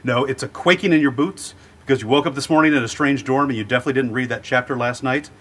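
A man hosting an interview in a sound booth, running a jokey quiz game with playful deadpan punchlines. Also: mid-range voice, quick pace, slight background noise. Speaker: host.

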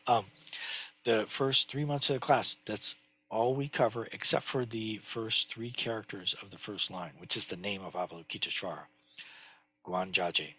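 An older man with a low, husky voice talks through a lesson, pausing often on little fillers and restarting his sentences. His tone stays bright and forward, and the sound is close and dry. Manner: explanatory, halting, many fillers and restarts. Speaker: teacher. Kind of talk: screen-share lesson on Chinese characters. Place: home study with bookshelves. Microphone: headset.

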